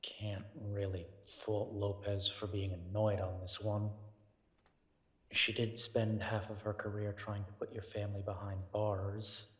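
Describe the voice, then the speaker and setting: A man playing a caring, weary werewolf detective boyfriend in an intimate roleplay audio drama, speaking in an earnest, gently coaxing, conversational way. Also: fairly low pitch, little pitch movement, measured pace, husky, no echo. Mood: earnest, exasperated.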